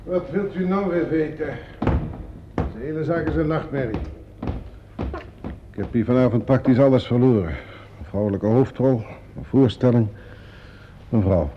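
An actor playing an irritable, defensive, self-important theatre director in a Dutch radio play, brusque and emphatic. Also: male voice, mid-range voice, moderate pace, pauses often, lightly acted. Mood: bitter, despairing. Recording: dull, lo-fi old radio recording.

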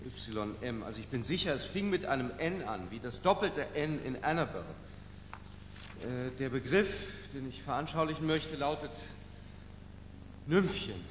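An actor in a radio play playing an obsessive, pedantic middle-aged academic giving a halting, fussy, emphatic lecture. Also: male voice, mid-range voice, animated, natural voice. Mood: flustered, muttering. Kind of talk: theatrical radio play monologue. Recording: reverberant lecture hall, faint noise.

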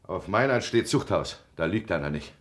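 A man's voice: low-pitched, lively intonation, normal speed. An actor playing a wounded, angry, bitter village man in a German radio play, accusing and volatile. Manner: accusing, explosive, then subdued. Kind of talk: German radio play, emotional stage acting.

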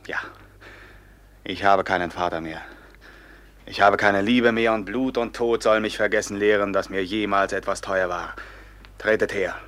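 A man's voice: fairly low pitch, fairly bright tone, no echo. An actor playing an anguished, betrayed young man turned grim robber captain, declaiming with passion in a classic German radio drama. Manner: declamatory, impassioned, bursting from whispers into cries.

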